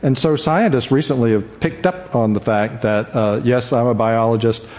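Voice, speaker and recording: A man speaking unscripted as a journalist on a panel, in a halting, hesitant way. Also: medium pitch, a little mellow. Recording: echoey hall reverb.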